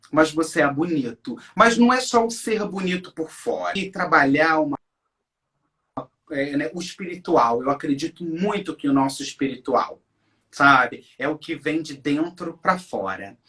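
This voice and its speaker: A man in his forties with a Brazilian accent talks as a guest in an interview. His delivery is conversational and emphatic, and he often turns to the listeners or checks in with short tag questions. His voice sits at an ordinary brightness. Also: a little high. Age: 40s. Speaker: interview guest, English teacher. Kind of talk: conversational interview, personal storytelling.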